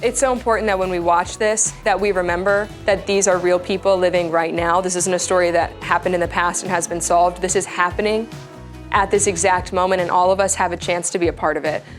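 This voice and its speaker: A woman's voice: lowish voice, steady tone, fairly quick, soft background music. A woman speaking earnestly in a behind-the-scenes interview, urging viewers to act.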